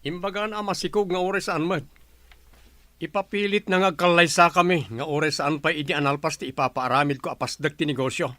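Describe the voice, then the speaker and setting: A man's voice: a little high, fairly even intonation, a little fast, sharp tone, no echo. A man playing a respectful but stubborn, aggrieved adult son in a radio drama.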